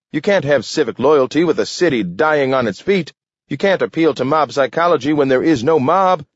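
A combative male alderman, acted out by a man reading a story, scornful and declamatory. His heated oratory rides high and swings widely in pitch, in a dry, slightly dull recording. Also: dry recording.

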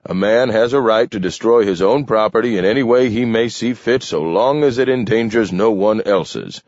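A man voicing a brusque, practical city chief in a story, brisk and impatient. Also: lowish voice, a little mellow, no echo, dull audio, pitched above the performer's own voice, close to an everyday voice. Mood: matter-of-fact. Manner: brisk, snapping.

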